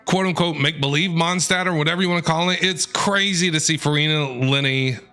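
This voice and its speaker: A man's voice: on the high side, fairly quick, clear, resonant tone. A man giving casual gameplay reaction commentary as a streamer, enthusiastic, informal and playful. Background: soft game music.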